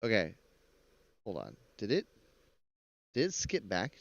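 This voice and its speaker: A man's voice: fairly low pitch, huge pitch swings, a little slow, creaky, no room sound, muffled recording. A man hosting a casual live stream, giving chatty, rambling, jokey reaction commentary into a close mic.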